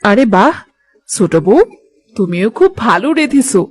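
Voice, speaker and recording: A woman's voice: mid-range voice, expressive, moderate pace, slightly rough. A woman playing an older village mother-in-law in a cartoon, a practical, affectionate matriarch with a motherly, matter-of-fact way of talking. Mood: practical, advising. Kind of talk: animated moral story acting.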